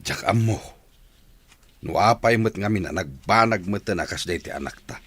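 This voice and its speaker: A man playing a weary, measured older brother and disappointed father in a radio drama. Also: deep voice, lively intonation, a bit bright. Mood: weary disappointment.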